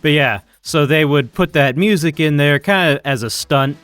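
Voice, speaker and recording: A man's voice: a little high, normal speed, fairly bright tone. A man giving casual, informal live coding commentary as a streaming programmer, muttering to himself as he works. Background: chiptune-style keygen music.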